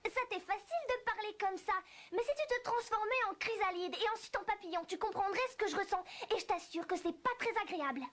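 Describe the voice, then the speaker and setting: A woman voicing a polite, bewildered little girl in an animated fairy tale, hesitant and earnest as if talking to herself. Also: extremely high pitch, fast talker. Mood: earnest, troubled. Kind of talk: French animated film dubbing.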